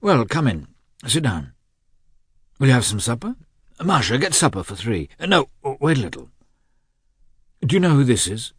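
A man reading aloud and voicing a wild, gaunt, irritable man who speaks in sharp, defiant outbursts. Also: medium pitch, very animated, moderate pace, long pauses, slightly rough, dry recording, clean studio sound, pitched above the performer's own voice. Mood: blustering.

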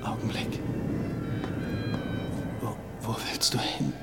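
With loud music behind him, an actor plays a middle-aged widowed man in a radio play. He sounds unsure of himself, halting and tender, full of longing, slightly away from the mic. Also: natural voice. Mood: unsure, wistful. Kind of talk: film audio drama acting.

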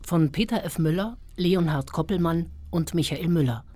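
A woman's voice: lowish voice, normal speed, dark tone. A woman reading a scripted title and credits announcement for a radio play. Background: music.

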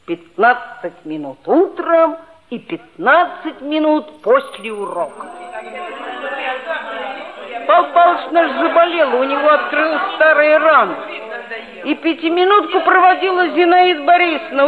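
Chatty, high-voiced schoolboy. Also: female voice, expressive, a little slow, a crowd in the background. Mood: confiding. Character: chatty, cheeky seventh-grade schoolboy narrator. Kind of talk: radio play narration. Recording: old muffled narrow-band radio play recording.

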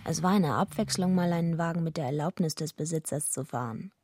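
A woman's voice: low voice, brisk, heavy background noise, lightly acted. An actress playing a tough, streetwise young woman, narrating a radio drama in a wry, matter-of-fact way.

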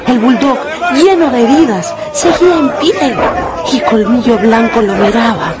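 A man's voice: very high-pitched, unhurried, very noisy. A man telling a brutal fight tale as a dramatic narrator in a scripted radio drama. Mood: urgent. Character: animated male storyteller of a brutal fight tale. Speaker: second narrator. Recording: loud music and crowd behind.

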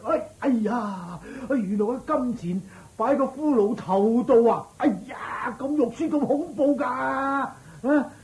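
An actor playing a squeamish, grumbling uncle in a radio drama, exclaiming and nagging in Hong Kong Cantonese. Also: male voice, very high voice, measured pace, few pauses, a little mellow, low-quality recording. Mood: horrified whining. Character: squeamish, grumbling uncle-like attendant, adult man. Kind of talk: Buddhist story radio drama acting.

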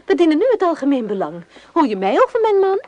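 Vain, high-voiced young society wife. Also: female voice, sing-song, quick pace, darkish tone. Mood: brisk, self-important. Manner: theatrical, imperious, airy. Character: vain, flighty young society wife, bossy and self-important. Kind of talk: Dutch radio play acting. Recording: noisy old radio play recording.

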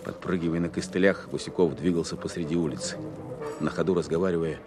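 An actor playing a sober wartime officer, narrating his search in a measured, deliberate way for a radio play. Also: deep voice, fairly even intonation, music in the background, lightly acted. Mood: measured, analytical. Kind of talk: first-person dramatic narration, radio play.

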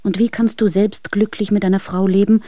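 Pleading, sorrowful wife for radio drama, female actor: mid pitch, mellow tone, earnest, intimate dry recording. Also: level tone, fairly quick, no room sound, natural voice. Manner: earnest, imploring. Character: unhappy, pleading young wife. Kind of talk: dramatic letter reading in a radio play.

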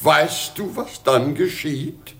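A man's voice: on the high side, takes it slowly, gravelly. A man playing a pitying, weary old emperor in a theatrical German radio play, plaintive and sighing. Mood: fatherly, grave.

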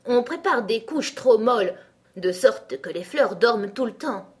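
A woman's voice: fairly high pitch, expressive, unhurried, pitched above the performer's own voice. A woman voicing a proud, short-tempered tiger lily in a children's storybook, with a commanding, scolding manner. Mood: bossy.

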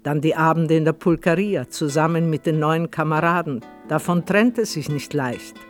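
A man narrating a radio play in a measured, literary manner. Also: fairly high pitch, soft background music.

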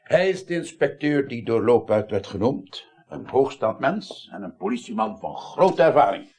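An actor playing a paternal, persuasive parliament chairman in a 1980s radio drama, husky, courtly and formal. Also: mid-range voice, sing-song, normal speed, darkish tone.

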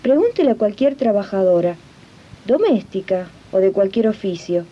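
A woman's voice: medium pitch, very animated, moderate pace. A woman playing a domestic worker who narrates a radio drama earnestly and didactically to her fellow workers. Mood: earnest, rallying. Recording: dull old radio recording.

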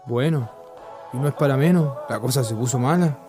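A man's voice: mid-range voice, brisk, over music, lightly acted. A man acting a cautious young student in a radio drama, colloquial, with a Chilean accent. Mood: wary.

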